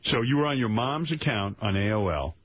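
A man's voice: mid-range voice, expressive, brisk, husky. A man hosting a radio show, crudely ribbing a staffer with mock questions. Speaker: radio host. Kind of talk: teasing radio banter. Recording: dull radio broadcast audio.

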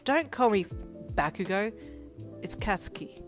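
A scowling young anime man, acted by a woman narrator, growls and scoffs through fan fiction dialogue. He is gruff, taunting and quick to anger, with music playing behind him. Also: low-pitched, steady tone. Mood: huffy.

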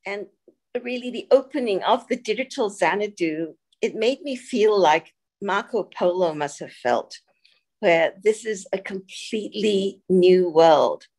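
An older woman from Singapore, giving a reflective presentation over a video call, pausing often with fillers. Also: medium pitch, expressive, a little mellow. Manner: reflective, halting with many fillers. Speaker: guest speaker.

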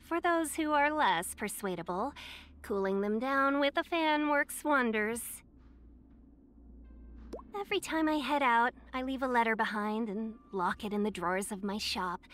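A woman's voice: high-pitched, lively intonation, unhurried, fairly bright tone. A woman voicing a young fox-eared merchant in a video game, silver-tongued, polite and playfully coy. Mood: playful, composed, then wistful. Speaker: game character voice. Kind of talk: scripted video game character voice lines.

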